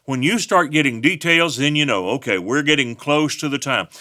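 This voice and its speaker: Male pastor, West Texas accent, folksy storyteller, forceful voice pitched a bit high. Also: moderate pace. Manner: folksy storytelling with personal anecdotes. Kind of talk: sermon.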